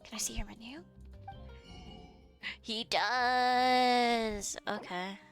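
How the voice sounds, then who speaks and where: A woman's voice: a little high, steady tone, extremely slow, faint music behind. A woman streamer chatting wryly while playing a game and reading menu items aloud. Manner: chatty, wry, reads game text aloud. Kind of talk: casual let's-play commentary.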